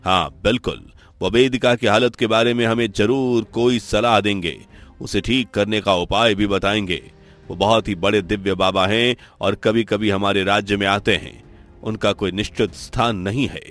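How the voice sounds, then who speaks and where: A low-pitched, commanding king acted by a man in a cartoon fable. He talks as a concerned husband, formal and earnest, with dramatic delivery over soft music. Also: fairly even intonation, normal speed, natural voice. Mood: reassuring, concerned. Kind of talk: Hindi cartoon story dialogue.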